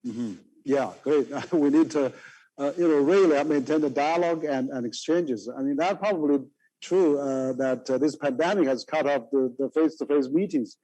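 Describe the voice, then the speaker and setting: A male moderator with a mellow, dark-toned voice asks his question haltingly, pausing and restarting many times. His delivery is hesitant but steady. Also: on the high side, low-quality recording. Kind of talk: moderating a panel discussion.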